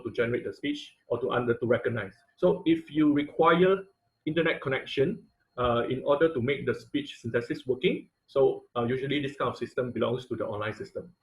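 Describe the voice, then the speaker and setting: A man with a medium-pitched voice teaches an informal online technical class. He explains step by step in a halting way, often repeating himself. His English phrasing is non-native. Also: low-quality recording. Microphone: online video call.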